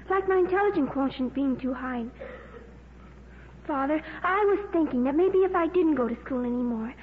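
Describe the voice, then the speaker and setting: A woman's voice: high voice, lightly acted. Female actor playing precocious, lonely daughter in 1950s radio drama; earnest, bookish, muffled recording with heavy noise. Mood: sad, earnest. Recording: muffled noisy old radio broadcast.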